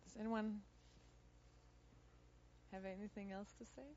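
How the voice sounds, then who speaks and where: A woman moderating a workshop Q&A, brisk and lightly joking. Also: mid-range voice, little pitch movement, dark tone, dull audio, a little hiss or hum. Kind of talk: running a Q&A session.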